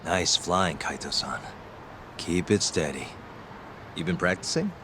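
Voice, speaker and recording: Deep-voiced, composed detective. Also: male voice, unhurried, background noise. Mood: calm, assured. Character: composed, street-smart male private detective. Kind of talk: English video game dub dialogue. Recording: game audio with music underneath. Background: background music.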